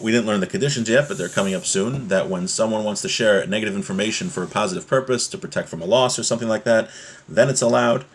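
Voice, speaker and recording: A man teaches an informal religious class in a medium-pitched voice. He moves between reading a text aloud and explaining it in everyday words. He restates each point step by step, as a teacher would.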